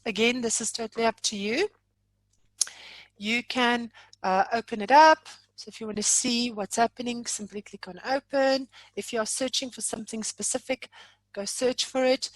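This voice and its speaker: High-voiced woman leading an informal software webinar. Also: normal speed, no echo, low-quality recording. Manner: chatty, playful, exaggerating. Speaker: software trainer leading a live online session. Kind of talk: informal live webinar walkthrough.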